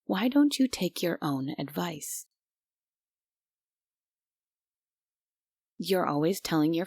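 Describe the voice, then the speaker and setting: A woman's voice: fairly low pitch, creaky, no room sound, heavily acted. A woman playing a strict, stubborn guardian angel who is bossy, prim and grudgingly caring, in an immersive roleplay audio drama. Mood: stern, prim. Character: strict, stubborn but secretly kind female guardian angel.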